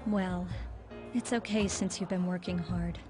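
An actress playing a stern, nagging girlfriend, pointed and matter-of-fact, in an animated game cutscene. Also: fairly low pitch, measured pace, lightly acted. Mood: firm, practical. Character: stern, nagging long-time girlfriend, composed and controlling. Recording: loud music under the dialogue.

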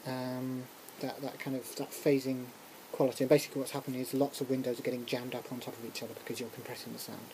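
A lecturer giving an informal software tutorial, talking through a demonstration hesitantly with muttered asides and interruptions to themselves. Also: medium pitch, little pitch movement, quick pace, hardly any pauses. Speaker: music technology lecturer. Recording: clearly noisy recording with room ambience.

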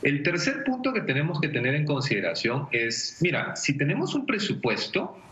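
Lively Peruvian man explaining over video call. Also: on the high side. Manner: didactic, illustrates with everyday examples. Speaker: personal finance expert on a TV segment. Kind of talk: conversational explanatory advice. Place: indoor room at home. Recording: dull, echoey video call.

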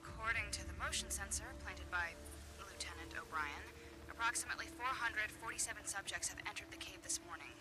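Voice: mid-range voice, fairly quick, lightly acted, poor audio. A performer acting a methodical, cautious field researcher in a video game, speaking clinically and precisely.

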